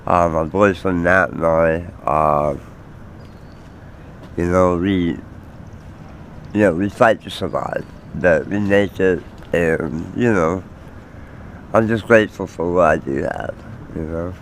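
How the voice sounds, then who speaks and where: Deep, slow-talking American man in his forties. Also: a little hiss or hum, poor audio. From Maryland, United States. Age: 40s. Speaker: homeless interviewee. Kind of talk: personal testimony on a street interview.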